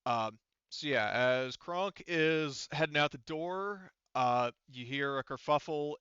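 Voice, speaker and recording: Guy running a tabletop rpg, bright voice, slightly dull audio, kinda thinks out loud as he goes. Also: fairly high pitch, clear, resonant tone, no room sound. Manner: halting, thinking aloud. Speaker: game master. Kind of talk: tabletop game narration, casual and improvised.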